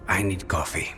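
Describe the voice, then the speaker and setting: An actor playing a weary, stubborn, brooding man possessed by a demon in a fantasy video game. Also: pushed and bright.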